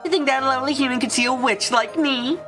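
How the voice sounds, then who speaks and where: A woman's voice: high voice, fast, lightly acted. A woman voicing a gleeful, taunting witch in a theatrical fan dub, drawing out her mocking words. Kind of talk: fan-dub character acting. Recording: music behind.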